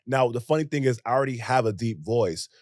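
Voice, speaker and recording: A young American man co-hosting a podcast in casual chat, talking in an animated, emphatic way with rhetorical questions. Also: medium pitch, fast, no room sound, studio quality. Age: young adult. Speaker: podcast co-host.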